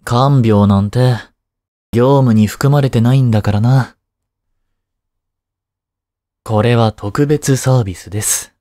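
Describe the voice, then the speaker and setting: Man acting mellow, unhurried, caring young tutor. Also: mid-range voice, no room sound, studio quality, lightly acted. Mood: relaxed, teasing. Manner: soft, soothing, teasing. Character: caring young male tutor and medical student, gently scolding. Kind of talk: intimate one-sided voice drama aimed at women.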